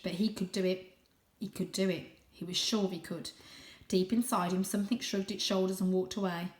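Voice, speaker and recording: A woman reading a children's story aloud in an expressive, suspenseful, animated way. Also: medium pitch, echoey room. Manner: animated, suspenseful, with gasped asides. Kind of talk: dramatic, expressive audiobook-style story narration.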